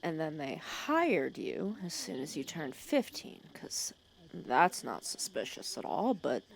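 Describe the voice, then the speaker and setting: A woman playing a protective, teasing female villain who scolds and cares for her hero nemesis in an ASMR roleplay, sarcastic and often dropping to a hush. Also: low voice, big pitch swings, a little slow, full character voice. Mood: disapproving, suspicious. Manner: scolding yet caring, sarcastic, dropping into hushed breathy asides. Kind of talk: ASMR roleplay audio drama.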